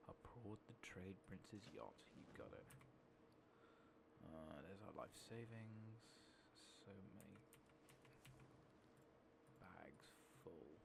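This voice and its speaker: Soft-spoken man, low gravelly voice. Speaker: gaming video host. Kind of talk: soft-spoken ASMR game playthrough commentary. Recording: loud constant background noise and clicking.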